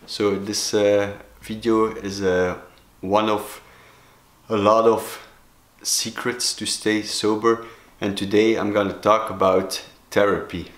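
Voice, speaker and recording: A man's voice: fairly low pitch, little pitch movement, a bit bright. Belgian-accented man vlogging in an echoey room. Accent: Belgian Dutch. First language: Dutch. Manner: halting, many fillers and restarts. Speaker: sobriety coach. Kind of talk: solo talking-head vlog.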